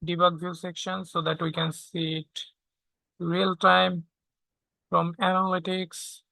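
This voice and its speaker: A tutorial instructor walking through software step by step, often checking in with a short questioning tag. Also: medium pitch, flat delivery, slow pace, gravelly, no room sound, low-quality recording. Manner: checks understanding with frequent "okay?".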